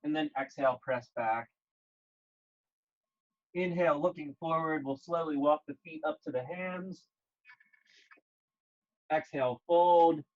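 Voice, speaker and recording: A man leading a guided yoga class, speaking gently and calmly in short, measured phrases with pauses. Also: on the high side, lo-fi sound. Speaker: yoga instructor.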